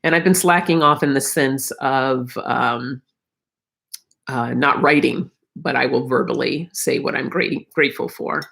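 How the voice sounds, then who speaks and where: A middle-aged woman hosts an informal spiritual card reading online. Her voice is gravelly and a little froggy, with a lot of fry and a tired edge. She talks to her viewers in a reflective, encouraging way. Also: a bass voice, unhurried, fairly bright tone. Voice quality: slightly froggy, croaky. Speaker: host of a live oracle card reading. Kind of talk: casual spiritual livestream chat with viewers.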